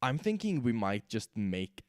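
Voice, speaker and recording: A man with a raspy, sore voice, talking himself through building machines while streaming a game. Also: mid-range voice, sing-song, measured pace, slight background noise. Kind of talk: casual gameplay commentary.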